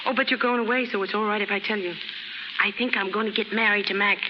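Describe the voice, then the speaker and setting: A woman's voice: mid-range voice, fairly even intonation, fast, background noise, close to an everyday voice. An actress playing a brash, naive young chorus girl from the slums in 1930s American radio drama, with slangy, ungrammatical street talk. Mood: eager, wistful. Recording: muffled 1930s radio recording with music.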